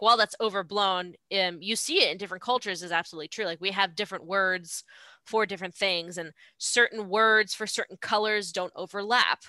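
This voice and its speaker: Woman, podcast guest, bright clear tone, dry close recording, thoughtful and explanatory. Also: mid-range voice, dry recording. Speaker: podcast guest discussing translation. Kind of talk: casual conversation.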